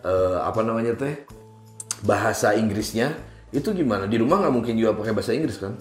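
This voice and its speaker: A man hosting a casual interview with a light tinge, joking around and filling pauses with quick okays. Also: fairly low pitch, lively intonation. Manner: jokey, lots of okays and laughs. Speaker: talk show host. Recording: echoey room with faint music.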